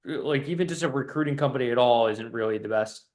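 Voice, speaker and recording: A man's voice: mid-range voice, fast, a little mellow. A man coaching on a casual call, blunt and profane, with an emphatic, stop-start delivery that often repeats itself. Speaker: business coach.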